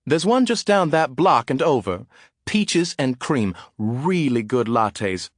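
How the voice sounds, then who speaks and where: A man's voice: medium pitch, sing-song, slow, no echo, clean studio sound, pitched above the performer's own voice. A man voicing a chatty woman in her seventies in an audiobook, eager and sing-song. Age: 70s. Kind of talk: audiobook character voice.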